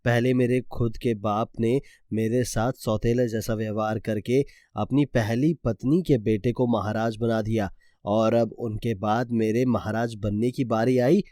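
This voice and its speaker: A man's voice: mid-range voice, steady tone, unhurried, warm tone, dry recording, studio quality, lightly acted. A man playing a jealous, scheming younger prince with a formal, calculating manner in a cartoon story. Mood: bitter, scheming.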